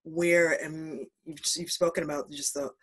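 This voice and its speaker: A man speaking as a panelist, considered and pausing with fillers. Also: on the high side, little pitch movement, a bit bright, husky, poor audio. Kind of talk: panel discussion.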